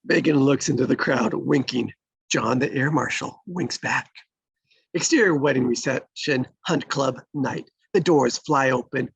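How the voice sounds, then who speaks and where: Male stage-directions reader for a screenplay table read, creaky and husky, close dry sound. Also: medium pitch, a little slow, dry recording.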